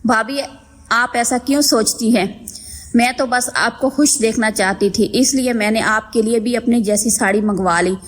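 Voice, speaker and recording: A woman playing a kind, meek young daughter-in-law who is eager to please, speaking gently and politely in an animated story. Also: fairly high pitch, moderate pace, lightly acted. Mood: hurt, pleading. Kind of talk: Hindi animated moral story dialogue.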